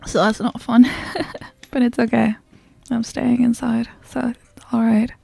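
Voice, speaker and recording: A woman livestreamer chatting casually in a soft, sleepy ASMR style that often slips toward whispering, close to the microphone. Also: on the high side, level tone, a little slow, creaky, breathy edge, no room sound. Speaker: livestreamer greeting chat and hosting a game stream.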